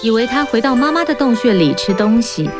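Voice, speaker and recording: A woman's voice: fairly high pitch, very animated, a little fast. Woman narrating a children's story over music.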